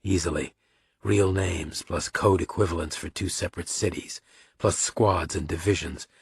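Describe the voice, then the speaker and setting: A man's voice: low voice, steady tone, moderate pace, creaky, husky, exaggerated voice. A man voicing a weary, middle-aged military father in an audiobook, subdued, terse and matter-of-fact. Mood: grave, terse.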